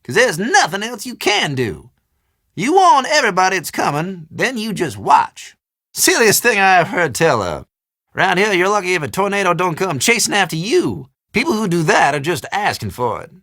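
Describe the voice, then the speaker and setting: Lively, high-pitched old country storekeeper. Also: male voice, normal speed, no room sound, studio quality, heavily acted. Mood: scornful, knowing. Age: older. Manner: folksy drawl, colloquial, teasing. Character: folksy, chatty old small-town storekeeper, a man. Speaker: small-town general store keeper. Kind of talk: video game character dialogue.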